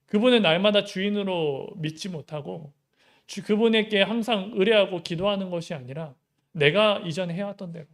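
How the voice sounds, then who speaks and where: A man's voice: high voice, normal speed. A young adult male pastor giving a Sunday sermon in an easy, conversational way, with many rhetorical questions.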